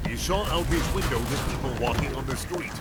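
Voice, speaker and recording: A man's voice: medium pitch, expressive, a little fast. A man reading bad fan fiction aloud as a comic drama, mock-earnest and exaggerated, with sudden outbursts. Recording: loud music behind the voice.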